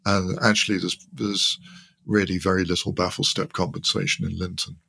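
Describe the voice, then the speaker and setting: Expert guest, male, sixties or older. Deepish, creaky voice, thoughtful and halting technical explanations. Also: flat delivery, bright, forceful tone, no room sound. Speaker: interview guest, loudspeaker designer.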